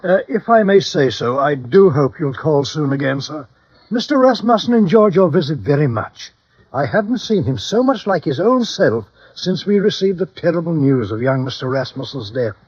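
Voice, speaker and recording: Husky, deferential old butler. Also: male voice, a little high, sing-song, normal speed, darkish tone, no echo. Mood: earnest, sorrowful. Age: older. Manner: hesitant, formal, deferential. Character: graying deferential butler, loyal, formal, anxious to please. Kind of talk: 1950s radio drama acting. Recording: dull vintage radio recording.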